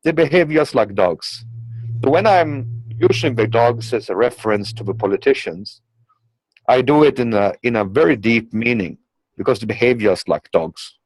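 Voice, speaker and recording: A man's voice: mid-range voice, animated, moderate pace, no room sound, low-quality recording. A man with a non-native English accent gives a blunt, rambling political monologue, often repeating himself and tagging phrases with okay and you know. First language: not English. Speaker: commentator.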